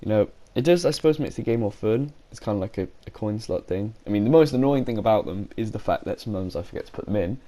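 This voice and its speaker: A man's voice: on the low side, animated, quick pace, hardly any pauses, mellow. Man with a sore throat. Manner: rambling, frequent self-corrections. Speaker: gaming YouTuber. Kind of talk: casual commentary video.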